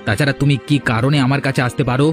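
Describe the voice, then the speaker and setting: A suspicious rival villager in a cartoon, curt and hostile, as a man voices him. He fires his lines off quickly in a mid-range voice. Faint music plays behind him. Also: mid-range voice, fairly even intonation, lightly acted. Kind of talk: dubbed cartoon dialogue. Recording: music in the background.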